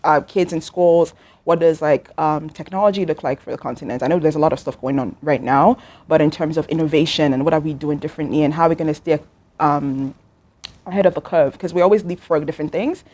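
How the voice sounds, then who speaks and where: A woman's voice: low-pitched, fast, darkish tone. A woman guest in an interview, talking casually with fillers and restarts. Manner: casual, hesitant with fillers, affirming. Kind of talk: interview conversation.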